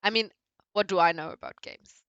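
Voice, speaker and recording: A woman chatting casually with her partner about games, teasing and quick to react. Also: medium pitch, fairly bright tone, no echo, highs cut off. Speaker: guest, girlfriend. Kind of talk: casual chat.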